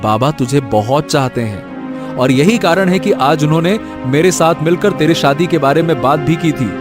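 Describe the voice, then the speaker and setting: A man playing a caring, gently scolding elder brother, a landlord's son, in a moral story. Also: on the high side, normal speed, over music, lightly acted. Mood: chiding, reassuring.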